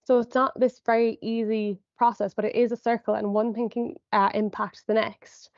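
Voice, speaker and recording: A woman's voice: on the high side, level tone, normal speed, darkish tone, no echo, lo-fi sound. A young woman psychologist, sniffly from a cold, giving an explanatory online talk to parents, hedging often with "I suppose" and ums. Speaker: sport and exercise psychologist presenter. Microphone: video call.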